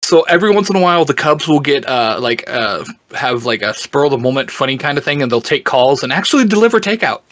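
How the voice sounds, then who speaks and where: Man in casual, halting explanation, close dry sound. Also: mid-range voice, sing-song, fairly bright tone, no room sound. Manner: halting, searching for words, often chuckling. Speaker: podcast guest and fan explaining a book series. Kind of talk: casual explanatory podcast chat.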